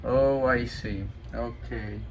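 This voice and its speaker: A man in casual radio chat and demonstrating equipment, talking in a halting, hesitant way. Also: mid-range voice, fairly even intonation, slight background noise, poor audio. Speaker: amateur CB radio operator demonstrating a radio for sale.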